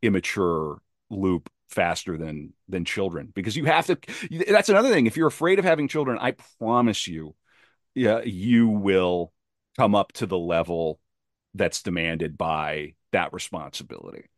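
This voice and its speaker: Low-voiced man, earnest and discursive, dry sound. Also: sing-song, moderate pace, dry recording. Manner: earnest, discursive, emphatic repetition. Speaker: podcast guest. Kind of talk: casual reflective conversation.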